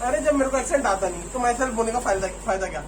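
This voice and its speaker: A man's voice: extremely high pitch, expressive, fast talker. A man joking and teasing his family in casual banter. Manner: teasing, jokey. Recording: noisy, distant phone recording.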